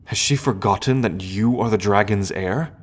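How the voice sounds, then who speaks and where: A man playing an earnest, loyal prince in a fantasy audio roleplay, speaking in a formal, measured and hesitant way. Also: on the low side, slightly rough. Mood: concerned, earnest. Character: earnest, grieving prince, loyal sibling of the dragon heir.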